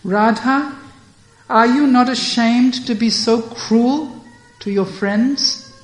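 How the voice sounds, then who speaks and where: A woman voicing a stern older woman who questions a girl in measured, scolding tones, in a storytelling reading. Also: fairly high pitch, voice pushed up. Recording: echoey room.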